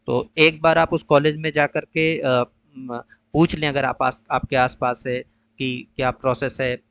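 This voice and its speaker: A man patiently walking viewers through an online counselling process in a screen-share tutorial, pausing often with "uh". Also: medium pitch, fairly even intonation, fairly bright tone, smooth, no room sound. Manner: patient, explanatory, mixes English phrases with frequent "uh" pauses. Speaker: tutor explaining a college allotment process.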